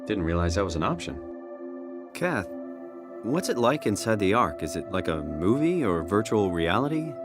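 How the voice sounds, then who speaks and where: A man's voice: on the low side, big pitch swings, normal speed, music in the background. An actor voicing a questioning, earnest everyman hero in a video game, talking in a casual, wry way. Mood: curious. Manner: casual, inquisitive.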